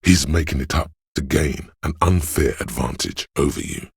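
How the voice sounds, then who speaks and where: Casting: snobbish, money-mad hustler in a game, extremely low and very husky, coaxing and smug. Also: male voice, big pitch swings, moderate pace, no room sound, clean studio sound, heavily acted. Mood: sly, goading. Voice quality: very deep, gravelly, husky. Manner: smug, coaxing salesman-like persuasion. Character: slick money-hungry hustler inner voice, male, scheming and snobbish. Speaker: video game voice actor. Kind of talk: scripted game voice-over, inner-voice advice.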